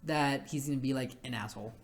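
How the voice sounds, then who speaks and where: A young man with a nasal, rather high voice, co-hosting a casual podcast chat, with halting restarts. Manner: halting, stammering restarts, laughs often. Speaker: podcast co-host.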